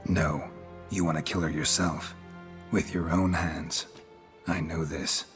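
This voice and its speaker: A very deep-voiced, laconic monster hunter from a fantasy game. His delivery is dry and blunt, with few words and a flat, unbothered manner. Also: slow pace, faint music behind, heavily acted. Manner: deadpan, terse. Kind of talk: dramatic video game voice acting.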